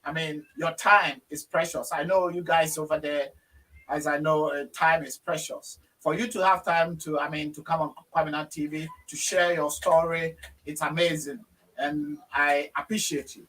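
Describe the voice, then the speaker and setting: A Ghanaian man hosts a relaxed online interview in a bright, fairly high voice. He talks unhurriedly, pausing often with fillers. He sits a little back from the microphone. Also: distant mic, poor audio. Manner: warm, slow, hesitant with many fillers. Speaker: host. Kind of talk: casual video interview.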